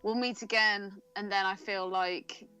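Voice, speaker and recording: A woman's voice: medium pitch, steady tone, a little slow, bright, forceful tone, clean tone, soft background music, low-quality recording. A woman co-hosting a podcast in a playful, teasing, casual way. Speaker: podcast co-host. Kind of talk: casual chat.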